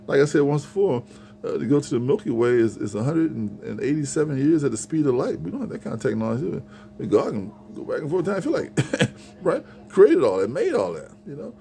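A man's voice: on the low side, warm tone. A middle-aged man giving an informal, earnest sermon on prayer, talking into a phone held at arm's length in a small shelved room. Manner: earnest, repetitive, conversational preaching. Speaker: preacher. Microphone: phone camera at arm's length.

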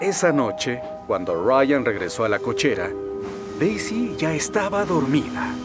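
Guy narrating a kids story, latam spanish, animated delivery, loud music under him. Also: medium pitch, measured pace. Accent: Latin American Spanish. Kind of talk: scripted children's story narration.

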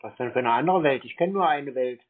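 A man's voice: mid-range voice, quick pace. Unimpressed man on a thin phone line. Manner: terse, unimpressed, contrary. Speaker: scambaiter taking a sales call. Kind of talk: casual phone conversation. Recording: narrowband phone sound.

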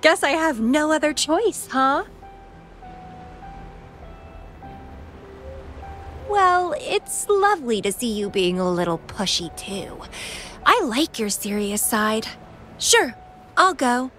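Slow, teasing, high-voiced young woman. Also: sing-song, fairly bright tone, faint music behind, exaggerated voice.

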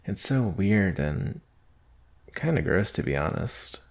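A man acts out a bashful young male werewolf student in an intimate ASMR roleplay. His voice is low and full of creak. He speaks slowly and haltingly, nervous around the one he has a crush on. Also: sing-song, darkish tone, exaggerated voice. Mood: wry, confiding. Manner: hesitant, bashful, halting, sighing.

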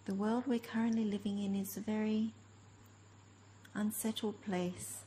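A woman with a soft-edged, mellow voice guides a meditation. She speaks gently in short phrases, leaving long pauses between them, at a middle pitch. There is faint background noise on the recording. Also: little pitch movement. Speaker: meditation teacher. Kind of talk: guided meditation, slow spoken instruction.

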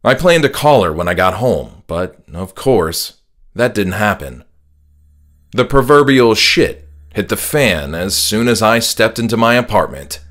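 Low-pitched male horror audiobook narrator. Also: big pitch swings, unhurried, a bit bright. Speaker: author narrating his own book. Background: faint music.

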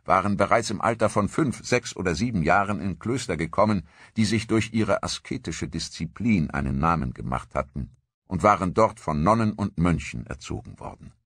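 A man narrating a history documentary from a script in a measured, grave storytelling manner. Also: sits low, fairly bright tone, husky, no echo.

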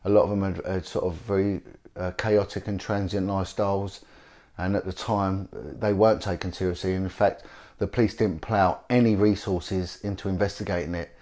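A middle-aged man with a slight cold, speaking in a somewhat low voice. He talks haltingly, with many ums and restarts, as he introduces a guest. He is recorded close on a lapel mic in a living room. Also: little pitch movement, mellow. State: has a cold. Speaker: interviewer, former police detective. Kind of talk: sit-down interview.

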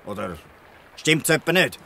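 A man's voice: fairly high pitch, sing-song, measured pace, gravelly, bright, forceful tone, a little hiss or hum, full character voice. A man with a Swiss German accent playing a proud, protective old free fisherman in a radio play. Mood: indignant. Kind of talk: dialect radio play acting.

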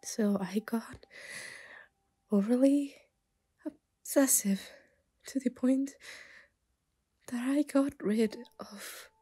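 A woman voicing an obsessive, self-aware anime schoolgirl in an ASMR roleplay, soft and often whispering, shy, hesitant and stammering as she pleads. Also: on the high side, extremely slow, long pauses, breathy edge, dry recording, heavily acted. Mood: distressed, remorseful.

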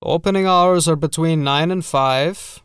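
A man's voice: fairly high pitch, unhurried, a bit bright, clean tone, no echo, studio quality. A man voicing a formal, curt old castle steward, a deferential servant in a gothic horror audiobook. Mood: formal, guarded.

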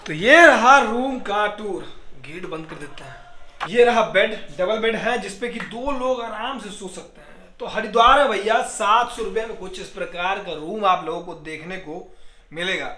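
A man's voice: high-pitched, normal speed, bright, forceful tone. A young man in his twenties talking casually to viewers while travelling, filming himself on a phone held at arm's length. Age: 20s. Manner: chatty, addresses viewers directly. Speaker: travel vlogger. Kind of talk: casual travel vlog narration. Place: outdoors at a station and in a small hotel room. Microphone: phone camera held at arm's length.

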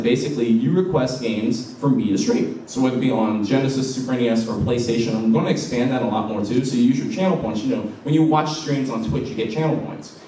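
A man's voice: mid-range voice, warm tone, a little hiss or hum. A man giving a casual convention panel about his streams, chatty and enthusiastic with lots of fillers. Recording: echoey hall from far off.

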